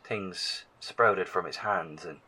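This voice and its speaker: An actor playing an earnest, shaken male survivor in an audio drama, speaking in halting, formal, emphatic phrases. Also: sits low, bright, forceful tone, breathy edge, heavily acted. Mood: grave, hesitant.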